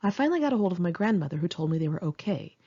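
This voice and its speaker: An American woman with a lowish, rough-edged voice narrates her own story. Her delivery is candid and dramatic, swinging freely in pitch like someone telling friends a tale. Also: big pitch swings, racing, warm tone, lo-fi sound. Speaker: author reading own memoir. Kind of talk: first-person audiobook narration.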